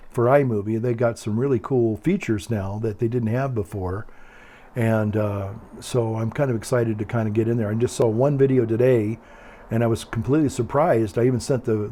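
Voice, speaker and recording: An older man with a calm, quiet voice, chatting casually in a meandering, hesitant way and often repeating himself. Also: mid-range voice, a little hiss or hum. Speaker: video blogger. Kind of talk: casual rambling monologue.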